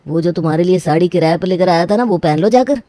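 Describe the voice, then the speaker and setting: A woman's voice: fairly low pitch, fast, dark tone, no echo, close to an everyday voice. A woman playing a boastful, scheming middle-aged father in a story, pushy and cocksure. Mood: scolding.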